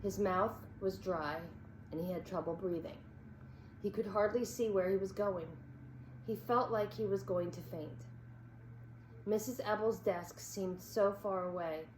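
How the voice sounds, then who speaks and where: A woman reading a children's story aloud as an expressive narrator. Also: mid-range voice, a little slow. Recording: echoey room with background noise.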